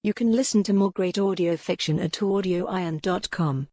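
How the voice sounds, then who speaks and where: A woman's voice: on the low side, moderate pace, dark tone, dry recording, studio quality. A woman reading scripted romance fiction as an audiobook narrator.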